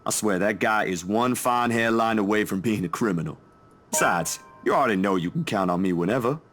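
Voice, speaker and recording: A man's voice: on the low side, a little fast, a bit bright, faint music behind, lightly acted. A man voicing a friendly, caring young man in a visual novel, casual and chatty, stammering when flustered. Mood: warm, joking.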